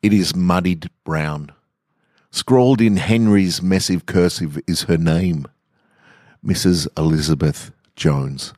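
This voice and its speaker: Male podcast storyteller, low-pitched voice, close dry sound, relaxed literary reading. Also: takes it slowly, no room sound. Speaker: podcast host, author and reader. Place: garage, indoors.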